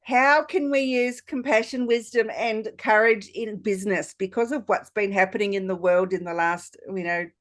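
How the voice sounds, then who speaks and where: A woman's voice: mid-range voice, steady tone, normal speed, hardly any pauses. A woman in her sixties hosting a podcast, introducing and chatting with a guest in an effusive, informal, rambling way. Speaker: podcast host. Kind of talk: podcast introduction and interview chat.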